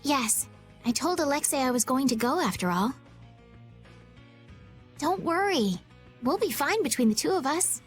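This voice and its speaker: A polite, earnest young heroine in a video game. She speaks high and expressively with careful, gentle courtesy. Faint music sits behind the voice. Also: expressive, a little slow, long pauses. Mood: resolute. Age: young adult.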